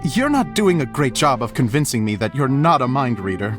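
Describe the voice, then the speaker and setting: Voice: mid-range voice, very animated, fairly quick, music in the background, natural voice. An actor playing an earnest, dramatic amateur stage director, humble and slightly flustered, in an anime video game. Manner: theatrical, earnest, hesitant when embarrassed.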